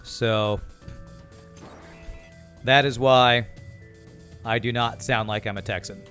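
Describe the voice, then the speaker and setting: A man's voice: mid-range voice, slow. A young man from California with an American accent, chatting casually with his viewers while he plays a game and reads their comments aloud. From California, USA. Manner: relaxed, chatty, reads chat aloud and answers. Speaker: streamer. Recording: faint music behind.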